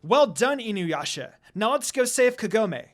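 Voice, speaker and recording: A man voicing a spirited young warrior woman, calling out loudly and eagerly. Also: high voice, measured pace, soft background music, voice pushed up. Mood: excited. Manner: shouting excitedly.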